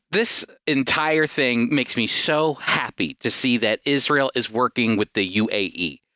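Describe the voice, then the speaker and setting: Bright, forceful male Bible teacher. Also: medium pitch, dry recording. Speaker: radio host and Bible teacher. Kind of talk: conversational radio Bible teaching.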